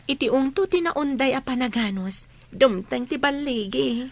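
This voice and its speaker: A woman's voice: high-pitched, normal speed. A young woman with a Filipino accent playing an earnest, idealistic student in a radio drama. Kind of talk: Ilocano radio drama acting.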